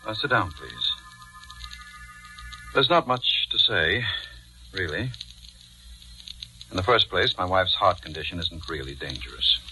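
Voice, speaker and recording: An actor playing a guilt-ridden middle-aged husband in a 1940s radio drama, measured, hesitant and confiding. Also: male voice, low-pitched, very animated, sharp tone, heavily acted, low-quality recording. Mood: measured, frank. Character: guilt-ridden husband drawn to his wife's nurse, narrating his confession. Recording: vintage 1940s radio recording, music bed.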